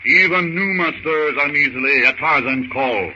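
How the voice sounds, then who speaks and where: A man's voice: a little high, normal speed, highs cut off, noisy background. A man narrating a 1930s radio adventure serial in a theatrical, urgent manner with emphatic short phrases, on an old radio recording.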